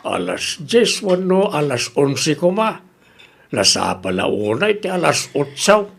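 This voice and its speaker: A man's voice: a little high, big pitch swings, slow pace, vocal fry, slightly rough, heavily acted. A man acting an elderly, homely father who is plain-spoken and a little stubborn, in an Ilocano-accented comedy radio drama. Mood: grumbling.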